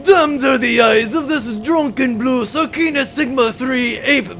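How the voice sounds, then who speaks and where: An actor playing a vain, blustering pirate villain in a comic sci-fi audio drama, full of florid oaths and theatrical threats. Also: male voice, very high voice, measured pace, fairly bright tone, soft background music. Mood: furious.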